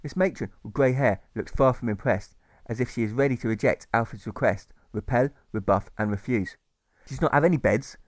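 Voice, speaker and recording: A man's voice: mid-range voice, dry recording. Man reading rhyming audiobook, dry sound. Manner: measured, rhythmic, stressing rhymes. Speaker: author reading his audiobook. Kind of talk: rhyming scripted narration.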